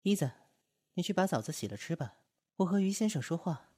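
An actress playing a proud, aloof opera performer and strict master in a radio drama, with a cold, curt, haughty way of talking. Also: female voice, fairly low pitch, lively intonation, brisk, mellow, husky, no echo, clean studio sound.

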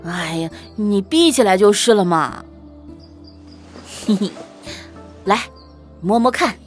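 Woman as doting roguish young husband, unhurried, swooping intonation, soothing, costume romance radio play. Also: mid-range voice, lively intonation, soft background music. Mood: teasing, fond. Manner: soothing, hesitant, playfully boastful. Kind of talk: Chinese costume audio drama acting.

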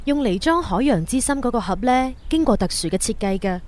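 An actress playing a curious, expressive young woman in a Cantonese sci-fi radio drama, with a Hong Kong accent. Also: a little high, brisk, lightly acted. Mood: confiding.

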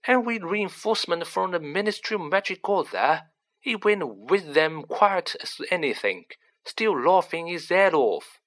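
A storyteller voicing a gossipy, excitable young working-class man who talks colloquially and dramatically, in an audiobook reading. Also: mid-range voice, a little slow, pitched above the performer's own voice. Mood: awed, gossipy. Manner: colloquial, dramatic, dropped grammar, tag questions.

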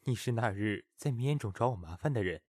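A man's voice: on the low side, expressive, brisk, a little mellow, no room sound, studio quality. A man playing a guarded, courteous young musician of ancient China in a period audio drama, restrained and formal. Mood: guarded, polite.

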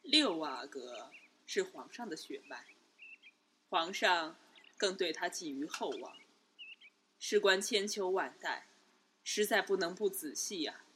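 A woman playing a cool, calculating empress in a Chinese period audio drama, speaking in a grave, deliberate way. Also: lowish voice, animated, fairly bright tone, slight background noise. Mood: quiet scheming.

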